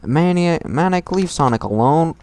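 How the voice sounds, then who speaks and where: A man's voice: on the high side, lively intonation, normal speed, a little mellow, voice pushed up. A man voicing an exasperated young female hedgehog from a fan story, scolding and giving commands. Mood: furious scolding. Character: exasperated bossy young female hedgehog, yelling at her brother.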